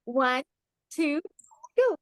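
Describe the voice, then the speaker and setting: High-pitched playful woman teacher in her forties. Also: sing-song, drawn-out words, fairly bright tone, dry recording. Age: 40s. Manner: playful, teasing, often chuckling. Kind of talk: interactive online class chat.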